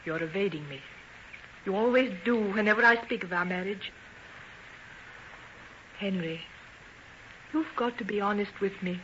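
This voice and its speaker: A dull, faintly noisy old radio recording features a woman acting a tender, anxious young fiancée. Her voice is bright and of middling pitch, with an earnest, pleading, melodramatic delivery. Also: normal speed, long pauses, lightly acted.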